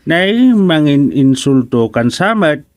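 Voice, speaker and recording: An actor playing an earnest, easily offended villager with a halting, exclaiming manner in an Ilocano radio comedy drama. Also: mid-range voice, sing-song, a little mellow, dry recording. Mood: offended, defensive.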